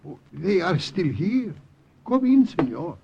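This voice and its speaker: An actor playing a nervous, deferential landlord in an old radio drama. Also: male voice, sits high, very animated, measured pace, vocal fry, heavily acted. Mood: meek, nervous. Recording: dull, noisy old radio recording.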